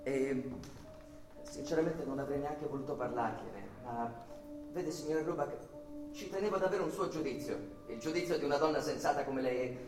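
A man's voice: mid-range voice, normal speed, lightly acted. An actor playing an agitated, over-polite male bank employee in an emphatic, repetitive Italian radio theatre style. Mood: nervous, courteous. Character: agitated male bank employee lodger, courteous then explosively indignant. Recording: roomy, distant from mic, music behind.

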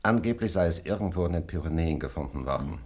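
Deep, husky, formal lab scientist. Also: male voice, lively intonation, fast. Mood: matter-of-fact, formal. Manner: formal, precise, explanatory. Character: methodical male laboratory scientist, puzzled by his findings. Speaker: laboratory analyst. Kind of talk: German radio play acting.

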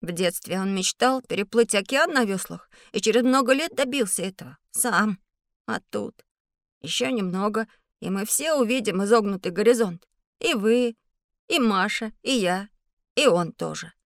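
A woman voicing an earnest, curious young schoolboy in an educational audio drama, speaking in a thoughtful, clear way. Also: mid-range voice, lively intonation, normal speed, no room sound, clean studio sound, natural voice. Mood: earnest, hushed.

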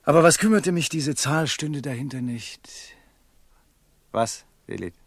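Proud merchant: husky, very wide-ranging pitch, poetic, brooding, commanding, radio drama. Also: male voice, animated, unhurried, no echo. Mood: impassioned. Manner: impassioned, poetic, swinging from hushed to commanding. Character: proud, love-struck merchant, brooding and imperious. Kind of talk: German radio play acting.

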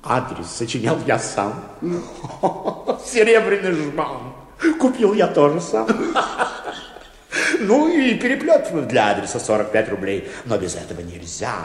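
A vain, pompous bank chairman from a radio play, speaking in a fairly high voice that swings grandly up and down. He is fussy, familiar and very pleased with himself. The sound rings with long hall reverb. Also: big pitch swings, slow pace. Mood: boastful, pompous. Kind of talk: theatrical Russian radio drama acting.

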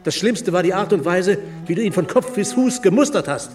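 A man's voice: high-pitched, animated, fairly quick, darkish tone, recorded from a distance, soft background music. An actor playing an earnest, anxious middle-aged provincial lawyer and exasperated father in a radio drama. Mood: exasperated, reproachful. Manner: earnest, deferential, worried.

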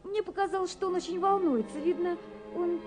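A woman's voice: extremely high pitch, fairly quick. An actress playing an anxious, romantic young postwoman in a radio drama, reading her letters aloud in a wistful, tremulous manner. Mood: wistful unease. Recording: 1979 radio recording with music. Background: music.

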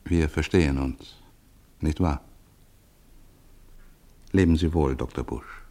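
An urbane old professor. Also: male voice, extremely low pitch, dark tone, heavily acted. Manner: urbane, knowing, measured. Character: worldly old professor, polished and knowing. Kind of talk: radio play acting.

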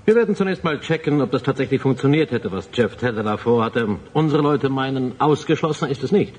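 An actor playing a matter-of-fact male security officer with a husky voice, reporting in a radio drama. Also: mid-range voice, expressive, brisk, darkish tone. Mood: businesslike.

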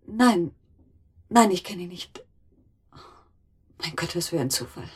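A shaken wife in a radio drama, searching insistently for answers. Her voice is lowish and very husky. She speaks haltingly and repeats her words. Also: lively intonation, exaggerated voice. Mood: flustered. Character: shaken married woman searching urgently for a witness.

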